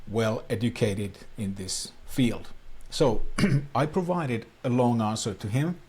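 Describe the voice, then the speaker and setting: A man's voice: mid-range voice, takes it slowly, darkish tone, husky. A man from Finland, a native Finnish speaker, coaching viewers on online marketing in an earnest talking-head explainer with plain, slightly non-native phrasing.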